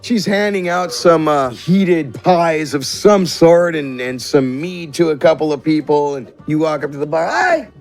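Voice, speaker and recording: A man with a fairly high voice acts as game master. He improvises descriptions for his players in a conversational tone, with soft music underneath. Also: measured pace, hardly any pauses. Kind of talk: improvised tabletop game narration. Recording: music behind the voice.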